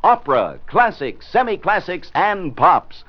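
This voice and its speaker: A man's voice: high voice, very animated, a little slow. A man reading a scripted 1950s radio commercial on a dull, old broadcast recording. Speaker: commercial announcer.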